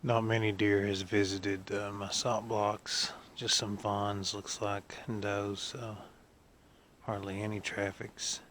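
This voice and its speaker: A man's voice: fairly low pitch, flat delivery, takes it slowly, a bit bright. A man hosting an outdoor vlog, explaining things casually to camera with folksy, hesitant phrasing, recorded outside in the woods. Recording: noisy outdoor camera audio.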